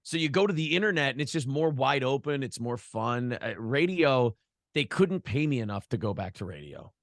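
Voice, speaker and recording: A man's voice: mid-range voice, big pitch swings, normal speed, dry recording, studio quality. An American man chatting casually and profanely as an interview guest, a broadcaster who tells stories by acting out imagined quotes. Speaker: podcast host being interviewed, former radio broadcaster.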